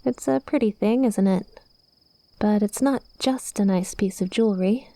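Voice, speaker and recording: A woman's voice: mid-range voice, moderate pace, warm tone, dry recording, natural voice. A woman playing a cute, playful witch in an ASMR roleplay, speaking confidently and coaxingly straight to the listener. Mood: gentle, instructing. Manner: conversational, teasing, coaxing.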